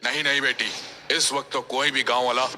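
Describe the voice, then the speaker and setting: A man's voice: medium pitch, level tone, fairly quick, sharp tone, slight background noise. A man voicing a worried, exasperated village father in a cartoon story, with an earnest, anxious manner. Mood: grave concern.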